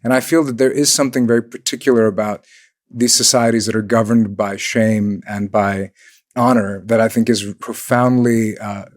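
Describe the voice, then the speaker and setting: A man from Pakistan talking quickly and thoughtfully about a film in a casual panel discussion. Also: lowish voice, steady tone, no echo, clean studio sound.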